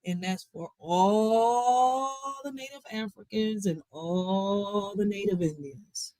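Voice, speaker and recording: An American vlogger talks straight at the audience in a preachy, urging tone. The sound is dry and close, with no room around it, and the pitch sits in a middle range. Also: takes it slowly, dark tone, dry recording, poor audio. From United States. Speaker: vlogger commenting on a clip. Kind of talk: casual commentary to viewers.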